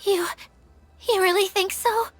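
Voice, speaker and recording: High-voiced, earnest young mechanic. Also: female voice, steady tone, unhurried, soft background music. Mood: self-doubting. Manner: hesitant, apologetic, earnest. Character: earnest, self-doubting young female mechanic in fantasy game. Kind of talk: animated fantasy game acting.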